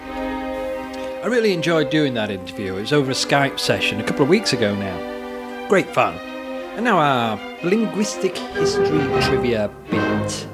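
A man hosting a podcast, interviewing a guest in measured, thoughtful phrases. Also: mid-range voice, wildly swooping pitch, loud music behind the voice. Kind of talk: casual interview and podcast narration.

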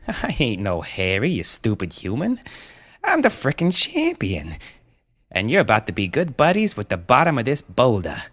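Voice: mid-range voice, huge pitch swings, normal speed, fairly bright tone, husky, pitched above the performer's own voice, full character voice. A man voicing a boastful, taunting eight-year-old boy who acts like a villainous champion in a story. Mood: gloating, taunting.

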